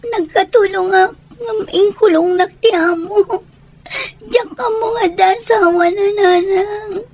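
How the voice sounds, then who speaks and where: Very high-pitched young Filipino daughter played by an actress. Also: female voice, steady tone, takes it slowly, darkish tone. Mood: tearful, pleading. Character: sincere, wounded young Ilocano daughter. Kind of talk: radio drama acting in Ilocano.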